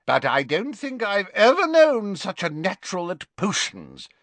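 A hearty, tipsy old teacher holds court, his voice pitched well above the reader's own and flung about in wide swoops. He gushes and exclaims loudly, as a man puts him on, recorded dry and close. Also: wildly swooping pitch, measured pace, no echo, exaggerated voice. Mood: jovial. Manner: hearty, gushing, loud exclamations. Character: tipsy jovial older male professor, booming.

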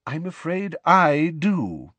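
A man voicing an old headmaster wizard from a storybook, calm, pleasant and politely wry. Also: medium pitch, moderate pace, dry recording, pitched above the performer's own voice. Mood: gently apologetic. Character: old male headmaster wizard, serene, courteous, quietly amused.

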